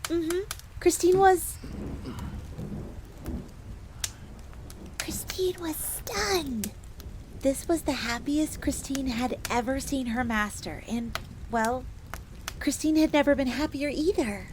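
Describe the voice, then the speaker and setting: A woman's voice: high-pitched, slow pace, a little mellow. A woman playing a devoted, eager fox maid who tells her story expressively in the third person, as in an audio drama. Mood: bashful delight. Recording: faint crackling fire noise behind voice.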